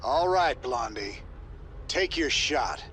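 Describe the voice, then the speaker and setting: A gruff, laconic rebel soldier. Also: male voice, mid-range voice, animated, slow, a bit bright, a little hiss or hum. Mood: wry, resigned. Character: gruff, laconic rebel soldier, middle-aged man, wry. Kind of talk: video game cutscene acting.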